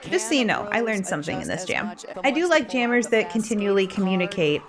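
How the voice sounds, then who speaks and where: A woman giving casual, playful sports commentary on a game, expressive and quick to act out what players might be thinking. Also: medium pitch. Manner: playful, expressive, voices imagined thoughts of players. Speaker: roller derby commentator and former player. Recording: crowd noise behind the voice. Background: roller derby game crowd.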